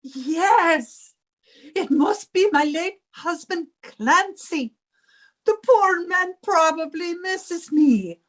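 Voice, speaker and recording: A woman's voice: extremely high pitch, expressive, extremely slow, heavily acted. A woman playing a theatrical, bossy older Irish widow and landlady in a radio comedy, given to wailing. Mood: dramatic, indignant. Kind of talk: 1950s radio comedy acting.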